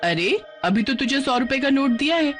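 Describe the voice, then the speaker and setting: A woman's voice: high voice, expressive, normal speed, voice pushed up. A woman voicing a brazen, cheating auntie in a cartoon story, scolding in an indignant, scornful manner. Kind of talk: Hindi cartoon story dubbing. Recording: music behind the voice.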